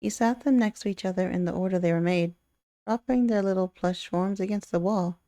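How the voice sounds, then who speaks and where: A woman's voice: medium pitch, fairly quick, mellow, no echo, studio quality. A woman hosting a let's play, reading a visual novel aloud and reacting casually. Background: faint music.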